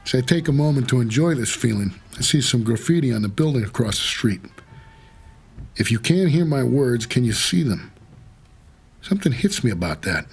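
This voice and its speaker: An actor playing a wry, world-weary cab driver for the dead, hard-boiled and laconic in a noir audio drama. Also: male voice, mid-range voice, expressive, moderate pace, gravelly, slightly rough, soft background music, heavily acted. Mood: brooding, wry. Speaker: narrator and lead character.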